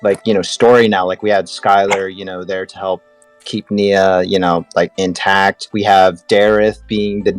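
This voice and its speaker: A man with a fairly low voice talks casually in a fan podcast discussion. He gushes with enthusiasm, and music plays behind him. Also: flat delivery, normal speed. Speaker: podcast panelist.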